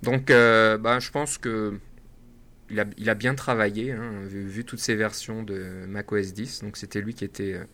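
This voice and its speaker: A man with a French accent talks over a tech podcast in an easy, rambling way. He fills his pauses and restarts his phrases often. His voice sits a bit low but has a bright, forceful edge. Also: flat delivery. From France. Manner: chatty, many fillers and restarts. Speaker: podcast co-host. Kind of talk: casual tech chat.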